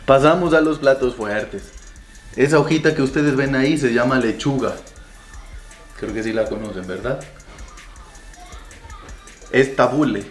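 A man presenting dishes in a jokey, faux-solemn, halting way. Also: mid-range voice. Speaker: guest food taster. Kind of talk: mock-formal food presenting. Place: room in a home, indoors. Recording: roomy echo, faint music.

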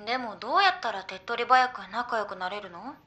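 A woman playing an excitable young female idol in a Japanese anime audio drama, with slangy, girlish, reactive chat. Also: a little high, a little fast. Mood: doubtful.

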